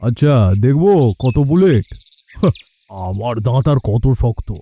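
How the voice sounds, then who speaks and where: Man playing a dark-voiced gruff village headman. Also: medium pitch, very animated, measured pace. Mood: sceptical. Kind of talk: cartoon voice acting.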